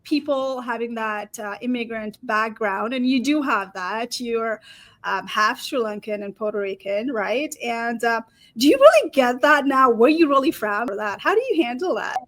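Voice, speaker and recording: High-pitched woman hosting an interview. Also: lively intonation, normal speed, fairly bright tone. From South Asia. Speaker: show host, interviewer.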